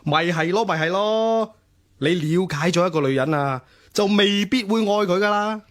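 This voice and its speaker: A man's voice: high-pitched, moderate pace, close to an everyday voice. An actor with a Hong Kong Cantonese accent playing a cocky, flippant young student womanizer in radio drama dialogue, teasing and dispensing mock wisdom. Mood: smug, advising.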